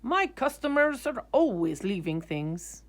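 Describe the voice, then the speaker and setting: A woman voicing a brisk, helpful laundromat manager in a storybook, with a businesslike delivery. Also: on the high side, sing-song, voice pushed up. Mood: obliging.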